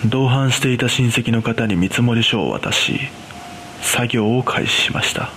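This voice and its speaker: A man playing an uneasy cleaner, narrating a scripted first-person horror story in a measured, hushed way. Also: mid-range voice, a little hiss or hum. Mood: grave, uneasy. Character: uneasy male trauma-scene cleaner recounting an eerie experience.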